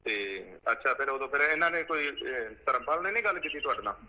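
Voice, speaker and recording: A man's voice: medium pitch, animated, fast talker, sharp tone. A man on a phone call, questioning and talking insistently, often repeating his words. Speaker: caller. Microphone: telephone. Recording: muffled lo-fi phone call recording.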